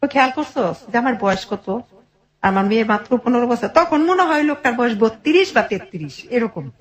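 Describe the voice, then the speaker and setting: A woman's voice: a little high, moderate pace. A middle-aged woman with a colloquial Bangladeshi accent tells her life story informally, acting out what relatives said as she goes. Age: 40s. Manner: animated retelling with quoted family dialogue.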